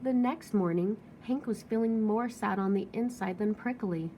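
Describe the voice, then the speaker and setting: A woman reading a children's storybook aloud as an expressive narrator. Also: mid-range voice, moderate pace, dull audio, slight background noise.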